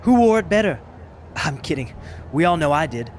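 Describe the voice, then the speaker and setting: A man's voice: a little high, big pitch swings, normal speed, slight background noise. An actor playing a vain, snobbish male model wrestler with a preening, condescending manner, in a video game cutscene. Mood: smug, teasing.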